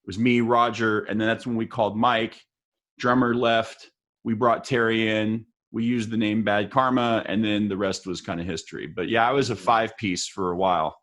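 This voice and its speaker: A man's voice: lowish voice, fairly even intonation, normal speed, a bit bright. A middle-aged man from West Texas hosting a casual music interview, telling long rambling stories and laughing at himself. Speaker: host.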